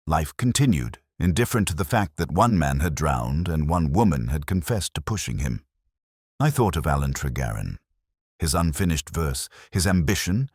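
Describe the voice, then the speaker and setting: A man reading a scripted first-person detective story in a brooding, measured, literary manner. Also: extremely low pitch, husky, no echo, studio quality. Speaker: audiobook-style narrator.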